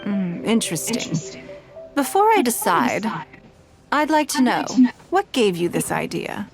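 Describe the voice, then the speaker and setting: A refined woman of wealth and business for a video game. She is calm and self-assured, with measured, articulate phrasing at a medium pitch. Music plays clearly behind her. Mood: thoughtful. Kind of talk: scripted video game voice acting. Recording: game dialogue over background music.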